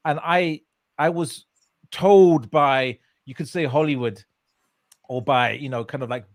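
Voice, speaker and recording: A man's voice: on the high side, unhurried, no room sound. Guy telling his life story, lots of uh and you know, dry close sound. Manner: hesitant, many fillers and restarts, laughs often. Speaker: interview guest. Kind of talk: casual conversational storytelling.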